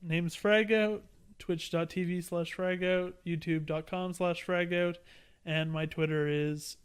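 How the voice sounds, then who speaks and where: A man's voice: sits high, little pitch movement, a little slow, smooth. A man guest on a podcast, rambling casually and stumbling over his words. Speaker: guest, streamer.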